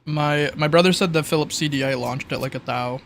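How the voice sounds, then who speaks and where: A man's voice: on the high side, fairly quick, vocal fry, a bit bright, slight background noise. A man talking casually in a group conversation with friends.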